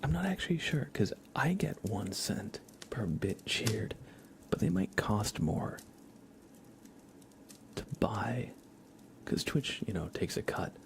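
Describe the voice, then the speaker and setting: Male ASMR streamer: hushed whisper with gravelly fry, casual, friendly, gentle chat delivery. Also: on the low side, sing-song, slow, long pauses. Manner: casual, friendly, thanking viewers. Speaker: livestream host. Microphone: close microphone with compression and limiter.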